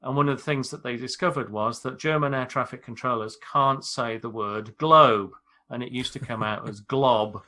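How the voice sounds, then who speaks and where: A British man, an airline pilot, explaining things in a measured, informal way on a podcast. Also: medium pitch, steady tone, bright, forceful tone. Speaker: airline pilot, expert guest.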